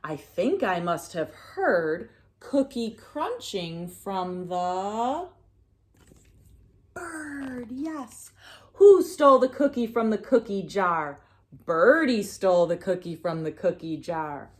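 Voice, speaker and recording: Female read-aloud narrator for young kids: unhurried, highly animated intonation, sing-song picture-book delivery. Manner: animated, sing-song, pausing to prompt listeners.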